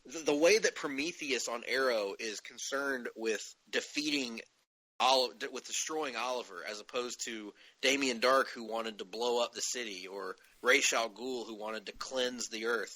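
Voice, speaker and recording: A man's voice: mid-range voice, moderate pace, bright, forceful tone, dry recording, low-quality recording. A man hosting a podcast, casually discussing comic-book television shows. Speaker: podcast host.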